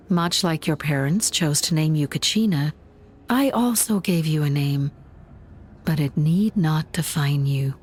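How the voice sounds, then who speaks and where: Slow, gentle motherly guardian spirit. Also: sits low, slightly rough, exaggerated voice. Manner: tender, measured, reassuring. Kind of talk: dramatic video game acting.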